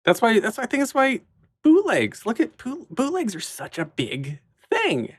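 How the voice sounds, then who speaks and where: A man's voice: very high-pitched, wildly swooping pitch, normal speed, slightly rough, no room sound, studio quality. A man hosting a podcast, chatting enthusiastically and riffing playfully on ideas with his guest. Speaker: podcast host. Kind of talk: casual interview conversation.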